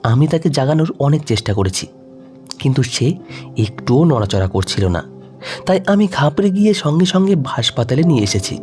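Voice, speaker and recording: A man's voice: medium pitch, big pitch swings, moderate pace, darkish tone, faint music behind, natural voice. A man voicing a distraught, insistent young woman grieving her lover in an audio story. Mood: anxious, explaining.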